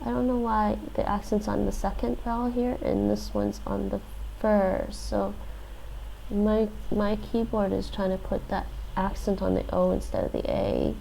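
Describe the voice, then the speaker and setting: A woman streamer thinking aloud as she works through a lesson, hesitant, with many fillers and restarts, relaxed and self-deprecating. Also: mid-range voice, normal speed, creaky, slight background noise, poor audio. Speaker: live streamer. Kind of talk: thinking aloud while doing a language app.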